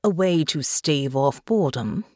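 A composed, unyielding countess, voiced by a woman, quiet and measured in a lowish voice. She questions calmly and without warmth. Dry, close sound. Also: dry recording. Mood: cool, probing. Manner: calm, quiet, measured, probing. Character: cool, unyielding noble countess.

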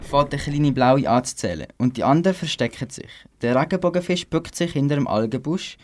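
A man's voice: on the high side, lively intonation, a little fast, a little mellow. A teenage boy with a Swiss German accent, narrating a scripted amateur children's radio play.

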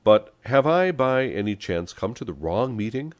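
Man as middle-aged storybook gentleman, polite, quiet, measured, unflappable, dry close sound. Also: mid-range voice, very animated, dry recording, voice pushed up, natural voice. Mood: politely unperturbed.

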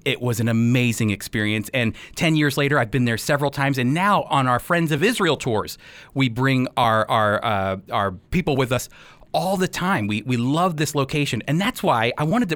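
Male American radio host-teacher. Very clear, resonant delivery, tight dry recording, emphatic explainer for commentary and interviews. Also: medium pitch, fairly bright tone, dry recording.

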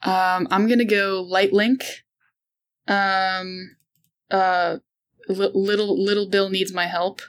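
A woman's voice: medium pitch, little pitch movement, slow. A woman at a tabletop game, chatting loosely and tripping over her words. Her voice sits in a middle range. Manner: stammering, chatty. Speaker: player. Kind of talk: casual tabletop game chat.

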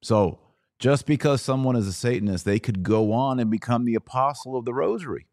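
A man hosting a podcast, chatting in a relaxed, conversational way with quick asides. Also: medium pitch, no echo, clean studio sound. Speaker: podcast host. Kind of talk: casual podcast talk.

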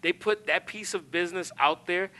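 A man's voice: sits high, moderate pace, sharp tone. A man co-hosting a casual wrestling podcast, talking conversationally with wry commentary. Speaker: podcast co-host.

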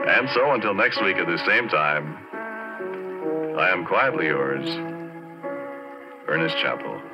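An American man hosting a 1940s radio drama series, reading out credits and sign-off with measured, deliberate pauses. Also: low-pitched, lively intonation, loud music behind the voice. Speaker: radio series host and lead actor. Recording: dull, noisy 1940s radio recording.

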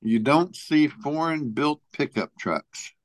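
A man co-hosting a casual talk show, reading out a list in a halting way and searching for his place. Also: fairly high pitch, expressive, no echo, faint music behind. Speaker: podcast co-host presenting a top ten list.

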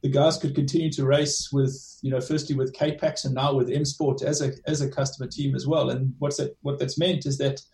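Gravelly-voiced man with a South African accent. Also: mid-range voice, level tone, a little mellow. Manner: measured, restarts phrases with many "you know"s. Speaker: motorsport team director, guest. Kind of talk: remote interview answers. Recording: echoey room, distant from the mic.

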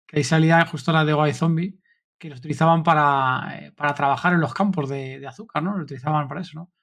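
A man's voice: a little high, fairly even intonation, fast, a bit bright. A man with an accent from Spain hosting a casual interview, asking rambling questions full of tag questions. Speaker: podcast host.

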